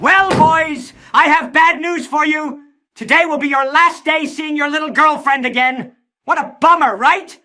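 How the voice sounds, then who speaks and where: A man's voice: extremely high pitch, measured pace, pushed and bright, full character voice. A man voicing a gleefully wicked, bullying mad scientist villain in a theatrical, gloating comic dub style. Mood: gloating, scheming. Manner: theatrical, gloating, barking orders.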